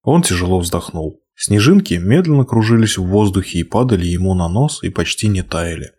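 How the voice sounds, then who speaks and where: A man reading a fairy tale aloud, expressive, slowing and hushing for the sad passages. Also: deep voice, slightly rough. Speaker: narrator. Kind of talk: storybook reading.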